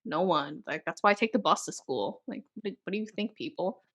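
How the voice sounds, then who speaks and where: A young woman from Canada co-hosting a podcast, chatting casually with lively swings in pitch and frequent laughter. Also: brisk. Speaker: podcast co-host. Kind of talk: casual chat.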